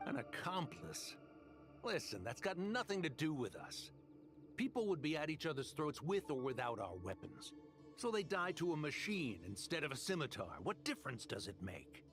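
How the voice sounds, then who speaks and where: Cocky mercenary hustler, swinging delivery. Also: male voice, a little high, very animated, normal speed. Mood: callous, brash. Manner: persuasive, cocky, casual slangy drawl of a salesman. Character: smooth-talking, ruthless desert mercenary arms dealer, greedy man. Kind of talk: video game voice acting. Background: game music.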